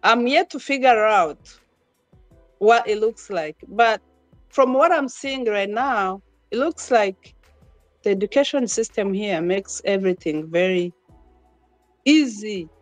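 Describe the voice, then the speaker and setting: A woman's voice: mid-range voice, slow pace, pauses often, no echo, faint music behind. A woman who grew up in Uganda, a podcast guest talking casually about moving abroad with her children, laughing often and restarting her sentences. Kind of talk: casual interview conversation.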